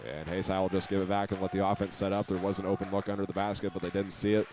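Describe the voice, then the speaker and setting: A man's voice: little pitch movement, very fast, noisy background. A man with an American accent giving live play-by-play radio commentary of a basketball game. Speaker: play-by-play announcer. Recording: clear gym ambience and ball bounces. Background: live basketball game in a high school gym.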